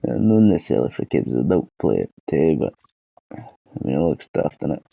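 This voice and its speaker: A Scottish man with a cold and a hoarse throat, rambling and swearing in a self-deprecating way through a casual gaming vlog. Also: very deep voice, very animated, normal speed, dry recording, dull audio. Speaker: gaming channel host.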